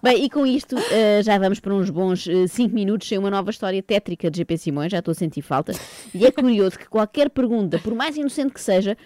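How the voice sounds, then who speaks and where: A woman's voice: medium pitch, dark tone, dry recording. A woman radio host with a European Portuguese accent, giving a teasing comic commentary on an interview clip. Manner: wry, teasing, rapid-fire.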